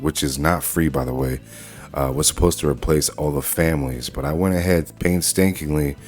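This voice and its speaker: Man, extremely low voice, casual tutorial host, informal step-by-step narration, music in the background. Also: a little mellow. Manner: informal, talks through each step as they go. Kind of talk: casual screen-recorded software walkthrough.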